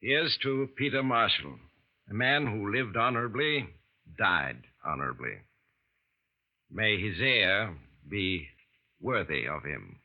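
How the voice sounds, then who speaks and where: A suspicious older lawyer who handles a rich man's estate. He speaks in a formal, measured, low voice and probes carefully with each question. The recording is dull and narrow, like an old radio broadcast. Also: lively intonation, exaggerated voice. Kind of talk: 1940s radio drama acting.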